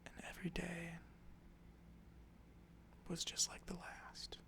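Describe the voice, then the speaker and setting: A tired ASMR storyteller who whispers a drifting improvised tale. The whisper is gravelly and textured. The pitch barely moves, and the voice keeps a steady hush throughout. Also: slow. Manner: soft-spoken, rambling, apologetic asides.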